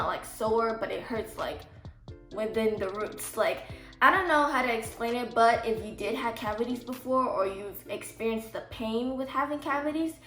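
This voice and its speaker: A woman's voice: on the high side, fairly bright tone. Woman, slight lisp, chatty, rambling, roomy echo. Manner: chatty, rambling, heavy use of "like". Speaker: vlogger. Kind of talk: casual advice vlog. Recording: echoey room with faint music.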